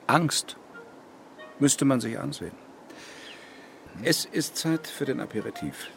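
An actor playing a self-assured, lecturing psychiatrist with a deliberate, dryly eccentric manner in a radio drama. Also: male voice, mid-range voice, expressive, gravelly, music in the background. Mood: calm, confident.

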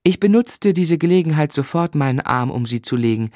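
A man's voice: a little high, lively intonation, a little fast, a little mellow, no echo, studio quality, lightly acted. An actor playing a grown man who recalls his boyhood, narrating a literary radio drama in the first person. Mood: reflective. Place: dry studio.

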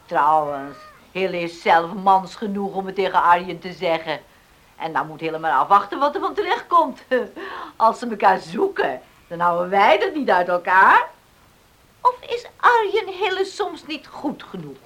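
A woman's voice: medium pitch, big pitch swings, measured pace, fairly bright tone. An actress playing a strong-willed, outspoken farm widow and mother in a radio drama, teasing and defiant. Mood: mocking.

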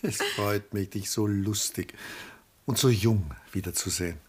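An actor playing a reserved, melancholy middle-aged man, laconic and measured, in a 1960s radio drama. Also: fairly low pitch, breathy edge. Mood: dryly gentle. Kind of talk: 1960s German-language radio drama acting.

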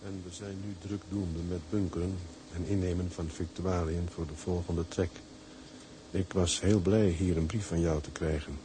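A man's voice: low voice, dark tone, close to an everyday voice. A man playing an earnest ship's mate narrating a letter home in a Dutch radio drama, plain and content. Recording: noisy ambience behind voice.

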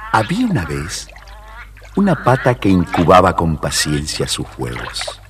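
Expressive, unhurried male storyteller. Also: low-pitched, noisy background. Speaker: narrator. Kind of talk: scripted children's story narration.

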